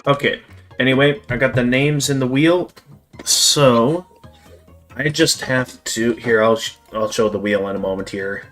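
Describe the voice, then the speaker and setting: A man hosting a game livestream, talking in a measured way with many "like"s. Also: medium pitch, fairly bright tone, faint music behind. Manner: measured, lots of "like" and "again". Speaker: streamer host. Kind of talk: casual livestream chat.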